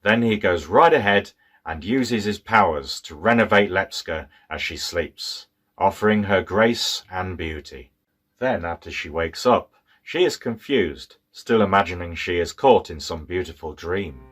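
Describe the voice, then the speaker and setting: A man's voice: low-pitched, lively intonation, measured pace, a bit bright, slightly rough. A man giving conversational storytelling commentary as a narrator.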